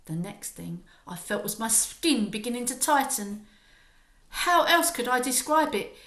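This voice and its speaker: A woman reading a children's story aloud like a primary school teacher, with expressive, emphatic dramatic narration. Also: a little high, echoey room.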